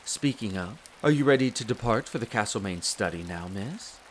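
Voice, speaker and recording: An actor voicing a devoted, polite wolf butler who serves as head servant, in a scripted roleplay drama. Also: male voice, lowish voice, normal speed, natural voice. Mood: courteous, reassuring. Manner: polite, formal, deferential. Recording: rain noise over the voice. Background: steady rain.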